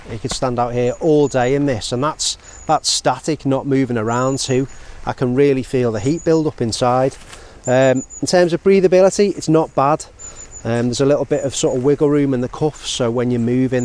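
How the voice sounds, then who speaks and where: A British man in his forties giving a casual, measured product review to camera in a conversational way. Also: mid-range voice, darkish tone. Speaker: outdoor gear reviewer. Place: outdoors in open countryside. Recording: outdoor ambient noise.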